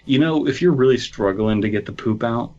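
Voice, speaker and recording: A giggly man reads a story aloud on a podcast, cracking jokes between lines. His voice sits at an ordinary pitch and comes over a dull, muffled online call line. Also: brisk, darkish tone. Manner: giggly, breaks into laughter while reading. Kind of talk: casual comedic banter and story reading. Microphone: online call mic.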